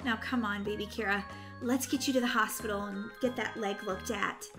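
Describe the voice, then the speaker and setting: A woman's voice: mid-range voice, steady tone, normal speed, fairly bright tone, faint music behind, close to an everyday voice. A woman playing a fussy, exasperated young babysitter, scolding and coaxing in an exaggerated way for a children's game roleplay. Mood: flustered.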